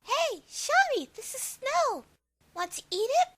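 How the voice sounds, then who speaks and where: A woman's voice: extremely high pitch, sing-song, takes it slowly, fairly bright tone, dry recording, studio quality, full character voice. A woman playing a playful, innocent little girl in an audio drama, childlike and teasing.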